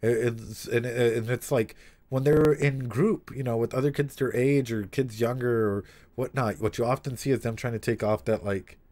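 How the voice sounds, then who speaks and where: A man streaming a casual read-aloud with commentary, talking in an animated, swearing way with frequent asides. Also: mid-range voice, normal speed.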